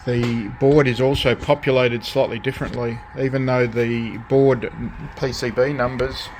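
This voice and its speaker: A man with an Australian accent talks through a piece of restoration work in a casual show-and-tell way. His phrasing is hesitant and comes in short pieces, at an ordinary middle pitch. There is noticeable background noise on the recording. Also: steady tone, measured pace, a little mellow. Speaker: radio restorer presenting own collection. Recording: clear background noise, hobby video.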